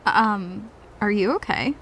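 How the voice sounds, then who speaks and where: A woman's voice: medium pitch, takes it slowly, fairly bright tone, slight background noise. A woman playing a nosy, blunt tour-goer in an audio comedy drama. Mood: curious.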